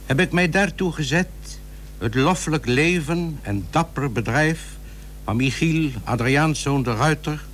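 Male narrator, unhurried slow delivery, solemn, formal archaic prose, faint hiss, old radio recording. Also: fairly high pitch, a bit bright.

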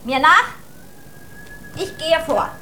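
A self-assured little princess in a radio play for children. The voice is high and swings widely in pitch, brisk and bossy as she orders the grown-ups around. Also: expressive, background noise. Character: bossy, confident little girl playing princess.

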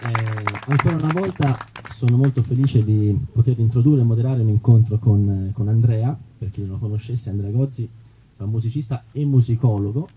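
A man moderating a live book presentation, introducing the author with hesitant pauses. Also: mid-range voice, warm tone, some chatter behind, a little hiss or hum, rough recording. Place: outdoor festival event, live PA.

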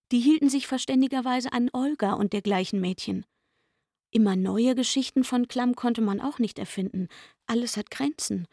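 A woman narrating a literary radio drama with a husky, breathy voice and a knowing, catty manner. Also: on the high side, mellow, no room sound, clean studio sound.

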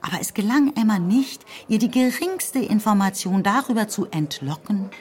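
A woman narrating a literary radio drama in a calm, even, precise way. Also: medium pitch, moderate pace. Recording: music under the narration.

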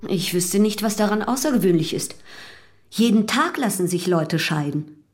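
An actress playing a calm, unashamed young widow in love, in a German radio drama. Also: female voice, mid-range voice, normal speed, soft background music, natural voice. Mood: composed, matter-of-fact. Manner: composed, unashamed.

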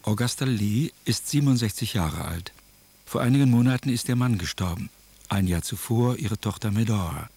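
Music is clearly heard under the narration. A man with a fairly low voice delivers scripted radio drama narration, measured and matter-of-fact throughout. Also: darkish tone.

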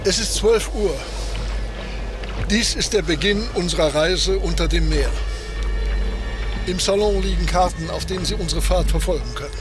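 A man plays a proud, enigmatic captain and inventor in a radio drama. His voice sits a little high for a man, and he speaks with courteous, matter-of-fact assurance. The sound is roomy, with music playing behind him. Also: moderate pace, pauses often, lightly acted. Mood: courteous, commanding.

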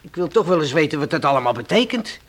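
Jumpy young club performer in a radio play, highish voice, hesitant and defensive. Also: male voice, very animated, quick pace. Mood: defiant. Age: young adult. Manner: hesitant, stammering, evasive. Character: nervous, defensive young male nightclub entertainer under questioning.

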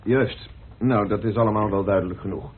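A man's voice: fairly low pitch, fairly quick, a little hiss or hum, natural voice. An actor in a radio play voicing a probing, formal man who questions acquaintances precisely. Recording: muffled phone-like old radio recording.

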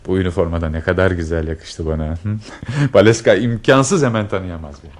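An actor playing a nervous, hopeful, lovestruck army lieutenant in a theatrical radio drama style. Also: male voice, low voice, slight background noise, natural voice. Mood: anxious, hopeful. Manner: theatrical, murmuring to himself, brisk when giving orders.

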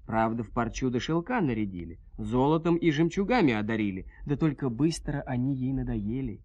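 Male storyteller for dramatized folk tale; mid-range voice, folksy, expressive delivery. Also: mid-range voice, fairly quick, dry recording, muffled recording. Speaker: storyteller narrator.

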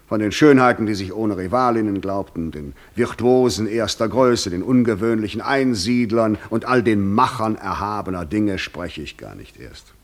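Sardonic, theatrical Devil with swooping intonation. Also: male voice, fairly low pitch. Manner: ironic, grandiose, eloquent, amused. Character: the Devil, proud, sardonic, worldly-wise old tempter. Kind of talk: German radio play acting, theatrical.

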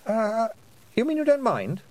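A man's voice: very high-pitched, wildly swooping pitch, unhurried, a little mellow, voice pushed up, full character voice. A man voicing a nervous, awkward boy who stammers and blurts in a storybook reading. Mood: anxious. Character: nervous, awkward, flustered well-off boy, apologetic.

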